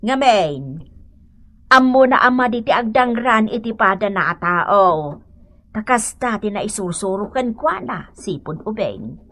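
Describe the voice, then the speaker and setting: Animated, high-voiced motherly Ilocano adviser. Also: measured pace, heavily acted. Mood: earnest advising. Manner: admonishing, emphatic, motherly. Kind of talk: Ilocano radio drama acting.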